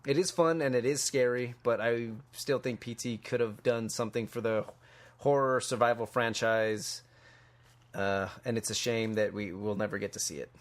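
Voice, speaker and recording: A young man with a medium-pitched voice co-hosts a podcast. He speaks in a casual, conversational style, as in an informal discussion. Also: fairly even intonation, moderate pace. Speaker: podcast co-host. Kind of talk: casual chat.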